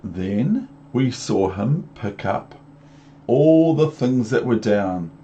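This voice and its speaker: Slow-paced New man reading to kids. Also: mid-range voice, lively intonation, mellow. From New Zealand. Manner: rhythmic, repetitive, talking down to young listeners with questions. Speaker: storyteller reading a picture book aloud to young children. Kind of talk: read-aloud children's story with chatty asides. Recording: muffled lo-fi home recording with background noise.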